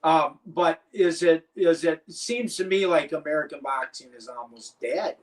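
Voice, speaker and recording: A man's voice: fairly high pitch, moderate pace. An older man and former wrestler, telling rambling, profane stories as an interview guest. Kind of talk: casual interview chat.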